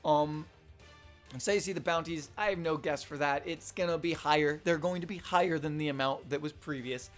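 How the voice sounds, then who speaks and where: A young man with a slightly hoarse voice, rambling casually through a manga chapter review with lots of fillers and restarts. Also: fairly high pitch, a little fast, faint music behind. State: slightly hoarse, losing his voice. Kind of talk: casual unscripted commentary.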